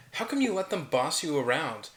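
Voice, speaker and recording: A man's voice: a little high, fairly bright tone, reverberant. A man reading a hesitant curious young guy. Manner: hesitant, casual. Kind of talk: amateur table read. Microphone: online voice call.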